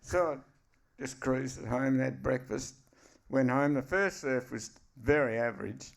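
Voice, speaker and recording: Guy in his 70s, laid-back, tells yarns casually, mid pitch. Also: unhurried, gravelly. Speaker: guest surfer. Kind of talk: casual chat on a live podcast.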